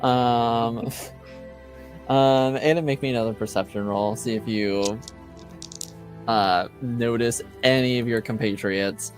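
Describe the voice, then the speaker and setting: A man running a tabletop game as game master, relaxed and chatty with frequent fillers. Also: medium pitch, slow, pauses often, soft background music.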